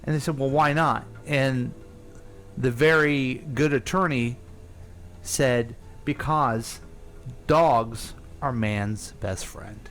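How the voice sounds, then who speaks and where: A man's voice: mid-range voice, slow pace, fairly bright tone, soft background music. A man hosting a casual radio talk show, telling stories in a rambling way full of fillers and restarts. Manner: rambling storyteller, thinks aloud with fillers. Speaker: radio host. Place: radio studio.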